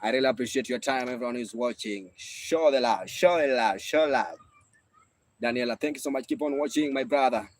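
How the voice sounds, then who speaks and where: A Kenyan man with a Kenyan accent, giving playful, drawn-out shout-outs to viewers during a live music stream. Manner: drawn-out, playful, breaks into laughs and high whoops. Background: loud traditional string music with rattles.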